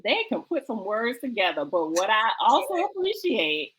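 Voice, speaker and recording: A woman with a bright, forward voice hosts a book discussion. She talks with enthusiasm and urges the listener on, hammering points home by repeating key phrases. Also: a little high, sing-song, moderate pace, slightly rough, low-quality recording. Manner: emphatic, exhortative, repeating phrases for effect. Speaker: host of a book talk show.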